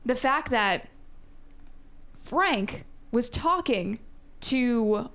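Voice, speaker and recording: A woman's voice: on the high side, lively intonation, very slow, a bit bright. A woman talking through her theories casually as a reaction show co-host. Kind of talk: casual analytical discussion.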